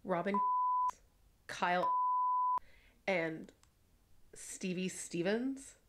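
A woman's voice: lowish voice, animated, extremely slow, noisy background. A woman playing a smug, sinister member of a secretive organization in an audio drama.

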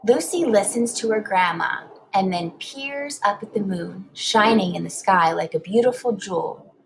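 A woman reading a children's storybook aloud like a teacher, expressive and deliberate. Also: mid-range voice, vocal fry, a little hiss or hum, rough recording.

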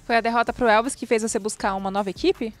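A young Brazilian woman working as a reporter, asking quick, bubbly questions into a handheld mic in front of a press backdrop. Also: a little high, steady tone, soft background music. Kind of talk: interviewing.

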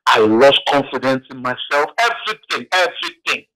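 A man from Ghana, calling in to a radio show by phone and telling his story haltingly, with restarts. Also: medium pitch, lively intonation, bright, forceful tone, low-quality recording. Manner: heavy, halting account with restarts. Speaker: caller.

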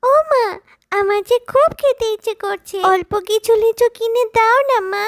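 A woman's voice: extremely high pitch, moderate pace, dry recording, studio quality. A woman voicing a sweet, eager little fox girl who coaxes and begs in a childlike way in a children's cartoon. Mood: eager, begging. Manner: childlike, begging and coaxing. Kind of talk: Bengali children's cartoon dubbing.

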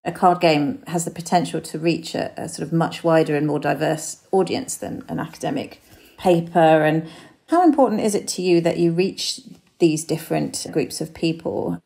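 A woman's voice: low-pitched, moderate pace, creaky, dark tone, breathy edge. A woman presenting a podcast, with scripted narration and interview questions.

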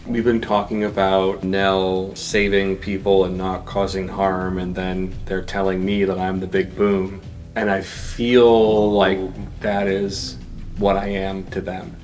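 A man's voice: sits low, flat delivery, takes it slowly, a little mellow, faint music behind. A man chatting casually as a player in a tabletop roleplaying game. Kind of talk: casual chat.

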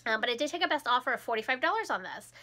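A chatty woman in her thirties speaks close to the camera in a small room at home. Her voice sits high and rings brightly, with a slight froggy edge from a cold, and she laughs easily as she talks. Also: very animated, very fast. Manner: chatty and upbeat, laughs often. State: recovering from a cold, a bit hoarse. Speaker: resale vlogger. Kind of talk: casual chat.

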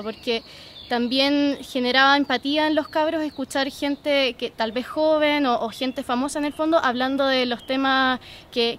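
A woman in her twenties with a Chilean accent, explaining her work on a programme in an interview. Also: sits high. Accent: Chilean Spanish. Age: 20s. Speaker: programme content producer and co-host. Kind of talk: documentary interview testimony. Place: garden outdoors, seated interview.

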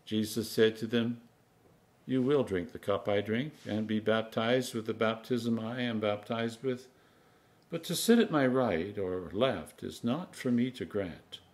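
A man in his sixties, an Anglican priest, reading scripture aloud in a measured, solemn, emphatic manner. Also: on the low side, darkish tone. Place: home kitchen, indoors.

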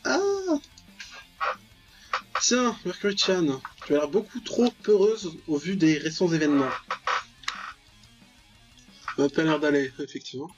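A man's voice: a little high, a little slow, pauses often, lo-fi sound, faint music behind. A young man giving casual, slangy let's play commentary, with mock-dramatic, exclamatory reactions. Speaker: gaming video host.

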